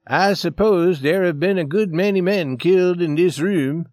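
A man's voice: high-pitched, normal speed, darkish tone, no room sound, clean studio sound, pitched above the performer's own voice, natural voice. A man voicing a nervous, suspicious, desperate man in a story, agitated and pleading. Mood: false bravado.

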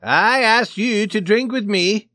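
Casting: man to act a big belligerent Swedish drunk for an audio story, loud, high-pitched, demanding, swinging wildly. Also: big pitch swings, slow pace, dry recording, clean studio sound, heavily acted. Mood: angry, demanding. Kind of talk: dramatized short story reading.

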